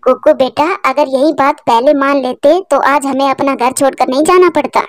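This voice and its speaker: A woman's voice: high voice, fairly even intonation, moderate pace, no echo, studio quality, close to an everyday voice. A woman voicing an anxious, emotional mother sparrow in a cartoon, devoted and pleading. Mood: sorrowful.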